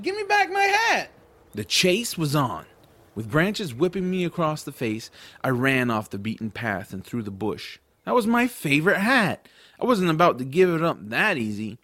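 A man's voice: mid-range voice, a bit bright. A man as an adventurous young boy storyteller. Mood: startled, urgent. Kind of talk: audiobook first-person narration and acted dialogue.

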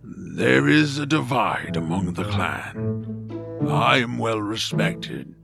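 A man's voice: expressive, slow, exaggerated voice. A man voicing a solemn, honorable orc warrior, formal and grave, in a family audio drama. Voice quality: deep, gravelly. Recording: loud music under the voice. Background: loud background music.

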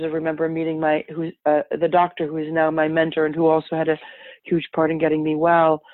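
A woman's voice: low-pitched, no room sound. Muffled-line woman guest, native French speaker. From born and raised in Paris, France, to American parents. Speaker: interview guest, health advocate. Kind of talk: conversational personal storytelling. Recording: muffled, band-limited remote line.

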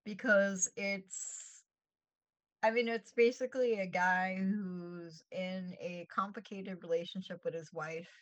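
A woman's voice: mid-range voice, fairly even intonation, takes it slowly, no room sound. A woman with a New York accent, co-hosting a show in casual chat. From New York, United States. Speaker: show co-host.

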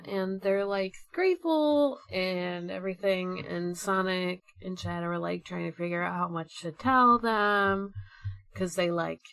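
A woman's voice: on the low side, vocal fry, a bit bright, dry recording. A woman co-hosting a podcast, chatting casually in a rambling way full of filler "like"s. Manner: rambling, peppered with "like". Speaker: podcast co-host. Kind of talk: casual fan chat.

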